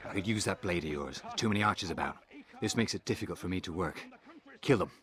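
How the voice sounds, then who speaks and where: Male actor as conspiratorial game assassin's contact, deep voice, rapid instructing delivery. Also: a crowd in the background, lightly acted. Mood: conspiratorial, commanding. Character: brisk male assassin informant, businesslike and conspiratorial. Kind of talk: scripted video game dialogue.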